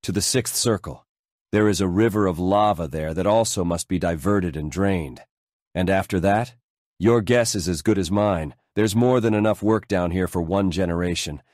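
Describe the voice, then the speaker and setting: An actor playing a solemn, resigned army engineer in an audiobook drama. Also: male voice, low-pitched, moderate pace, a little mellow, dry recording, studio quality, natural voice. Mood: grimly resigned.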